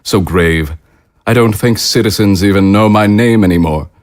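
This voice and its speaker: An actor playing a gracious, self-important head of state in an audio drama, with formal, courtly speech. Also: male voice, fairly low pitch, normal speed, close to an everyday voice. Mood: affable.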